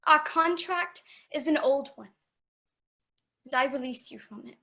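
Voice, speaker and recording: A woman's voice: high voice, sharp tone, full character voice. A woman in a radio play playing a poor young fiancée who speaks with sorrowful, earnest resolve. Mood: sorrowful, resolute. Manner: earnest, measured, plaintive.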